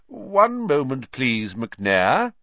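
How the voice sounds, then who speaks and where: A man voicing a courteous old wizard headmaster in an audiobook, measured, urbane and quietly amused. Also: mid-range voice, wildly swooping pitch, no echo, dull audio, voice pushed up, heavily acted.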